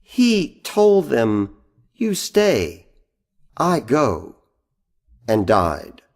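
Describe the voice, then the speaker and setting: A man's voice: mid-range voice, huge pitch swings, extremely slow, vocal fry. A man reading poetry aloud in a recitation style. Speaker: poetry reader.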